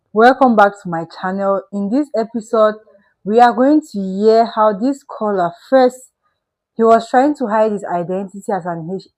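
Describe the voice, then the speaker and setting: A woman's voice: medium pitch, no room sound, poor audio. A woman introducing her channel's video, speaking in an earnest, pleading way and repeating her asks. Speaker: channel host.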